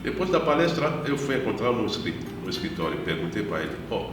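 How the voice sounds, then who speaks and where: A man, a missionary priest giving a measured, reflective personal testimony in an interview. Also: lowish voice, sing-song, background noise. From Democratic Republic of the Congo. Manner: measured, reflective, unhurried storytelling. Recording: echoey room, distant mic, guitar music behind.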